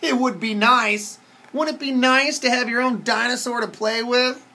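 A woman voicing an excitable, eager, polite young boy from a picture book in an exclamatory, wide-eyed way. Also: fairly high pitch, moderate pace, pitched above the performer's own voice. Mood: wistful.

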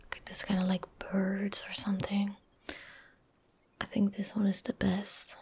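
A woman in her twenties giving soft-spoken ASMR game commentary in a breathy, gentle whisper, unhurried and close to the microphone. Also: medium pitch, completely flat delivery, background noise. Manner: slow, unhurried, gentle murmuring. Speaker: ASMR streamer. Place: small indoor room at a desk. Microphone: close desk microphone.

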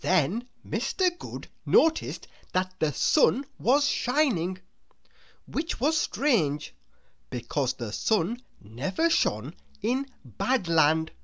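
A woman reads a children's story aloud as narrator. Her voice is slightly low and extremely animated in melody, and she takes her time with a slow, deliberate, expressive delivery.

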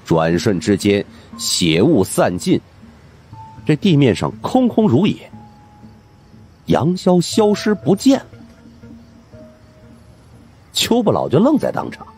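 A man with a husky voice narrating a supernatural mystery audiobook in a dramatic scripted style. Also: mid-range voice, huge pitch swings, slow, long pauses, a little mellow, soft background music.

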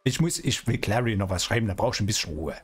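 A middle-aged man, chatting casually as a streamer in a role-play game with drawn-out, teasing phrasing. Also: on the low side, animated, breathy edge. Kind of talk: casual in-character gaming chat. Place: indoor streaming room. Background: music.

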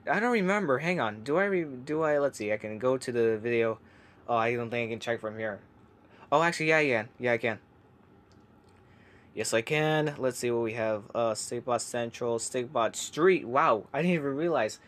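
A young man reacting to viewer comments in casual chat from his laundry room, keeping quiet so his family can sleep, with many fillers and restarts. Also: mid-range voice, normal speed. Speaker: YouTuber reading comments.

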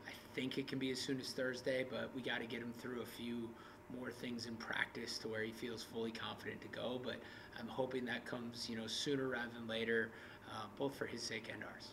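A man in his thirties, a basketball coach, talking candidly in an interview with halting restarts. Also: medium pitch, flat delivery, background noise. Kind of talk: post-game interview. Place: indoor media room in front of a backdrop.

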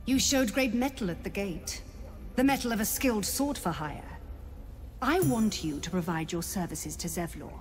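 An actress playing a stern, ruthless elf druid leader in a fantasy game, imperious and commanding. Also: female voice, mid-range voice, expressive, moderate pace, darkish tone, close to an everyday voice. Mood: menacing, imperious. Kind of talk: dramatic fantasy game acting. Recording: long reverb with music behind.

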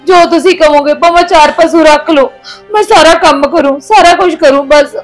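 A woman's voice: sits high, moderate pace, soft background music, natural voice. A woman playing a young daughter-in-law who pleads and defends herself while crying. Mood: tearful. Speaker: actor in a family skit. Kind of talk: domestic drama skit.

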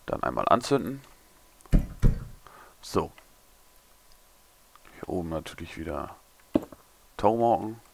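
A young man, a little hungover and hoarse, mumbling and thinking aloud as he builds in a game tutorial. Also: low-pitched, lively intonation, takes it slowly, long pauses, background noise. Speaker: gaming tutorial creator. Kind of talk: casual commentary while building.

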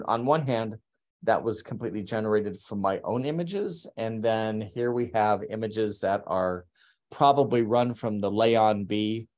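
A man presenting his art over slides on a video call, talking haltingly with many ums and restarts. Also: fairly low pitch, a little mellow. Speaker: artist presenting his work. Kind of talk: conference talk over slides. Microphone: webcam on a video call.

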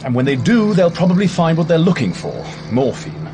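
Radio drama: earnest English doctor, clipped and defensive, very varied pitch, noisy backdrop. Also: male voice, very animated, fairly quick.

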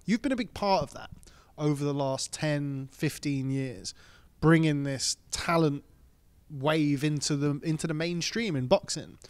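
A man's voice: on the high side, measured pace, smooth, dry recording. Male interviewer with a dry close sound. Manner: long, rambling questions. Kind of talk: interview questions.

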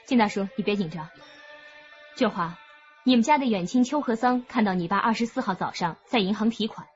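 A woman's voice: medium pitch, dull audio, faint music behind, natural voice. An actress playing a composed, methodical policewoman with an authoritative delivery in a radio drama. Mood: calm, factual. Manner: measured, authoritative. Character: composed, methodical female police officer presenting evidence.

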